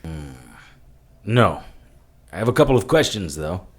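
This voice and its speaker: Actor as a grim occult investigator, very deep voice, reverberant with noise. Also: male voice, big pitch swings, measured pace, breathy edge, full character voice. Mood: stern. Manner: terse, serious. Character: world-weary occult detective man. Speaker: narrator.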